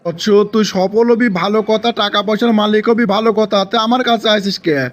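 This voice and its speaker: Man doing a high-voiced, scolding cartoon holy man. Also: steady tone, moderate pace, lightly acted. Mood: chiding. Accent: Bangladeshi. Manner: preachy, chiding, repeats commands. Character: male forest holy man, scolding, exasperated comic guru. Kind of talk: exaggerated comic cartoon acting.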